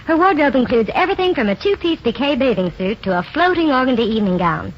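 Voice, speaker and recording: A woman's voice: high-pitched, very animated, fast. A woman giving a polished, cheery scripted fashion report in a 1940s radio commercial. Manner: polished, cheery promotional delivery. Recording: muffled 1940s radio broadcast, faint noise.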